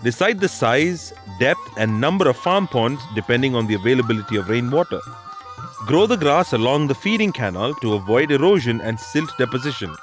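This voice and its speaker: A man's voice: medium pitch, big pitch swings, a little fast. A man reading scripted instructional narration for a documentary. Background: background music.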